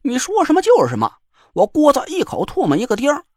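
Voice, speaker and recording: A man's voice: very high voice, huge pitch swings, quick pace, no room sound, studio quality, voice pushed up, exaggerated voice. A man voicing a cocky, teasing middle-aged man with a bantering, mock-boastful drawl.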